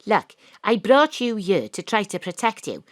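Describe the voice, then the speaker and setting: A woman's voice: mid-range voice, very animated, moderate pace, no echo, studio quality, natural voice. A woman voicing a brash private eye who was once a Welsh rugby star, narrating her own noir story with a wry tone. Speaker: narrator and detective. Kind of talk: noir audio drama narration. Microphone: home studio mic.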